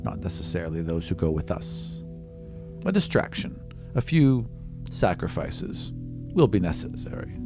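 A man plays a haughty young demigod in a tabletop roleplay. The voice sits low, with terse, calculating, aloof delivery. Instrumental music plays clearly behind it. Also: very animated, measured pace, full character voice. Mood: cool, guarded. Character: haughty, self-serving young male demigod student, coolly calculating. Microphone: close podcast microphone on stand.

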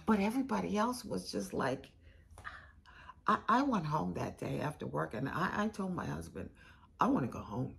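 A woman's voice: on the low side, sing-song, normal speed, gravelly, a bit bright, exaggerated voice. An older American woman playing a candid, caring law school administrator in a conversational, emphatic dramatized monologue. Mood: troubled, reflective. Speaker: performer in a dramatized narrative. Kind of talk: conversational monologue, dramatized first-person account. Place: small indoor room with bookshelves.

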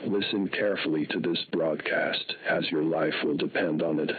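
A man voicing a cold, menacing government emergency announcer in a scripted horror broadcast, with stern, measured delivery through a radio filter. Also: fairly even intonation, a little hiss or hum. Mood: cold, grave. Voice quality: very deep, husky. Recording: muffled phone-like radio broadcast sound.

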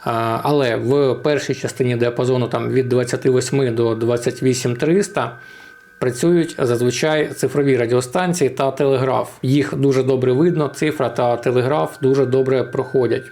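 A man's voice: medium pitch, fairly even intonation, normal speed, darkish tone, a little hiss or hum. A man giving an informal, step-by-step tutorial narration as an amateur radio vlogger.

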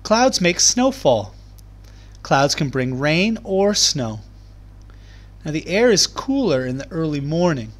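Guy teacher doing a kids read along, kinda high voice, explains stuff simply. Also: big pitch swings, takes it slowly, pauses often. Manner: clear, simple explaining addressed to young children. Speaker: primary school teacher. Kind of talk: children's read-aloud lesson.

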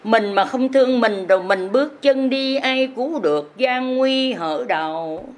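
A woman, a religious teacher who delivers her sermon as drawn-out, sing-song chanted verse and urges her listeners on. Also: on the high side.

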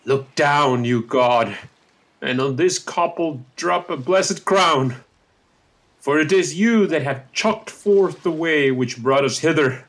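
A man's voice: on the high side, takes it slowly, fairly bright tone. A man playing a pious, kindly old courtier in a Shakespearean radio play, declaiming verse with fervor. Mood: moved. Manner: fervent, declamatory verse delivery. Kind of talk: Shakespearean radio drama acting.

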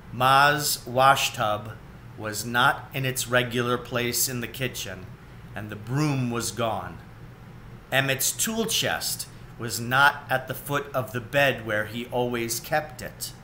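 A man's voice: mid-range voice, slow pace, bright, forceful tone, a little hiss or hum. A man in his forties reading a children's storybook aloud, recorded indoors at home on a webcam.

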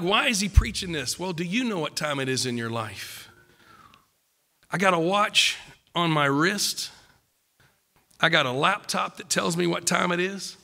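A middle-aged man preaching at a pulpit, emphatic and joking, a little croaky from a cold. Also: sits high, pushed and bright, in a large hall. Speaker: pastor. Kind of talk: sermon. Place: church stage at a wooden pulpit. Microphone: podium mic.

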